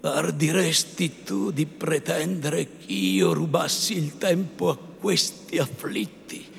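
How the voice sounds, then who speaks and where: Man playing a stern old friar, high booming shouts, gravelly, slow, echoey hall. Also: big pitch swings, heavily acted. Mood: stern sorrow. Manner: solemn, rising to furious shouts. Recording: echoey hall, faint ambience.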